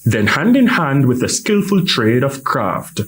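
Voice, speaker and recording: A man's voice: medium pitch, very animated, unhurried. Guy reporter voicing a news feature, really up and down delivery, noisy behind him. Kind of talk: scripted news feature narration.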